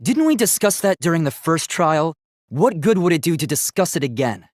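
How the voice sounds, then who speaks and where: An earnest young robot student from a video game, with a fairly high male voice. He speaks precisely and politely, reasoning in formal, logical phrases. The recording is dry and close. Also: very animated, normal speed, no echo. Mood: analytical.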